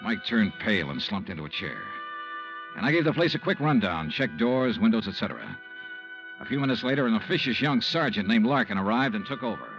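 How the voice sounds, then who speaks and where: An actor playing a cool, probing insurance investigator, dry and matter-of-fact, in 1950s radio noir style. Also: male voice, lowish voice, animated, brisk, muffled recording, over music. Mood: measured, brooding. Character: cool, probing male insurance investigator narrating his case.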